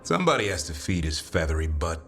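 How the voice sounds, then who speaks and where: A man's voice: low voice, very animated, fast, over music. An actor voicing a wry monster-hunting warrior in a video game, a man searching for purpose. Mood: easygoing.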